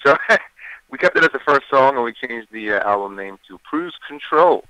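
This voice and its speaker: A man, a singer, talking casually about his album in an interview, with a deep voice and plenty of fillers and restarts. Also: normal speed, clean tone, dry recording, rough recording. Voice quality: deeper voice. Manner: relaxed, many fillers and restarts.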